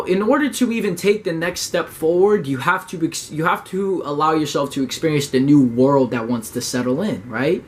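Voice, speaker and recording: A young man giving a loose motivational talk to camera, full of slang, swearing and "right?" checks, slowing down and pressing harder on his key points. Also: on the high side, fairly bright tone. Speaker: motivational YouTuber. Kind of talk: casual motivational monologue.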